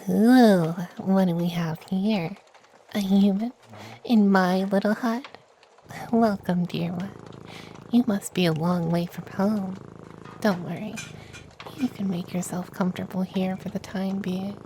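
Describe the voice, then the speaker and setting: Playful, powerful but kind forest witch, played by a man: dark mellow tone, soft ASMR delivery, faint background ambience. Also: high-pitched, fairly even intonation, a little slow, a little hiss or hum. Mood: welcoming. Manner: soft, cosy, playfully boastful, slipping into whispers. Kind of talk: ASMR roleplay.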